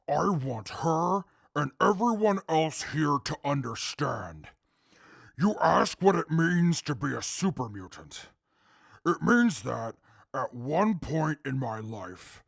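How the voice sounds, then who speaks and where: An actor playing a calm, articulate male super mutant who testifies in court in a formal, measured way with a gravelly voice. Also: a little high, dry recording, studio quality, heavily acted. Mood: earnest. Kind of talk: audio drama acting.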